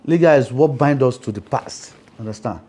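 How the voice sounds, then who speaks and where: A man with a Nigerian Yoruba accent explains things in a dark, mellow voice. He speaks emphatically, like a priest being interviewed, and keeps checking that his listener follows. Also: mid-range voice, normal speed, breathy edge. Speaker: Ifa priest, interview guest.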